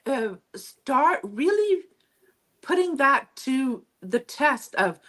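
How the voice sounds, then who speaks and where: Lively higher-voiced woman, native Low German speaker. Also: takes it slowly. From Mexico, then Texas from childhood. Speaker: interview guest, author. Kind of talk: reflective conversational interview answer.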